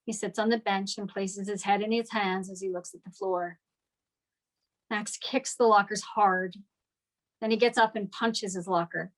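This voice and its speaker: A woman's voice: mid-range voice, poor audio. A woman narrating scripted stage directions at a table read.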